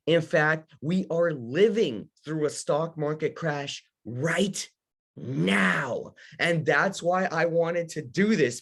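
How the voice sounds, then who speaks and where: A young man from Ukraine teaching a casual live lesson for beginner investors, talking straight to his audience. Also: medium pitch. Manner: casual, talks to the audience, many fillers and restarts. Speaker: host of an investing workshop.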